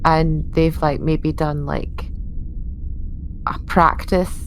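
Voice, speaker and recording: A woman's voice: sits low, steady tone, drawn-out words, slight background noise, poor audio. A woman playing a tabletop role-playing game, casually describing what her character does in a rambling, playful way.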